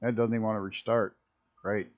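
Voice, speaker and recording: A man with a rough early-morning voice, chatting casually and rambling as he streams a flight simulator. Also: fairly low pitch, flat delivery, brisk, narrow, tinny audio. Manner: rambling, self-interrupting, chuckling at mishaps. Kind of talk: casual live-stream commentary.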